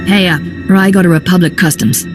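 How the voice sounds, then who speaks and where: A woman's voice: on the low side, steady tone, quick pace, over loud music, close to an everyday voice. A woman playing a tough, confident smuggler captain in a video game, blunt and wry. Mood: defiant, demanding.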